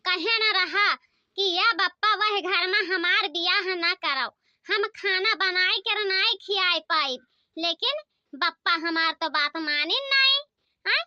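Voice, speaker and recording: A woman's voice: very high-pitched, bright, forceful tone, no echo, dull audio, heavily acted. A woman voicing an aggrieved young village mother and daughter-in-law in a comic cartoon, complaining and exclaiming in an Awadhi accent.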